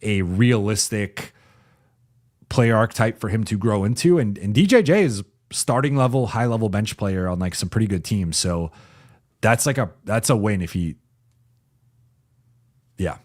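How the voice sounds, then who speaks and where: A man hosting a casual basketball livestream, talking loosely with many restarts and reading viewer comments, his voice going a bit raspy as he talks. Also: on the low side, normal speed, pauses often. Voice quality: raspy when talking for a while. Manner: loose and conversational, frequent restarts, reads viewer comments aloud. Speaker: sports reporter and channel host. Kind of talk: casual live-chat basketball analysis. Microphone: home streaming mic.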